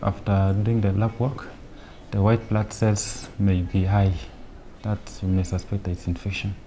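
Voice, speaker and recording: Calm low-voiced male nurse explaining. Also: measured pace, a little hiss or hum. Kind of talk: explaining nursing care.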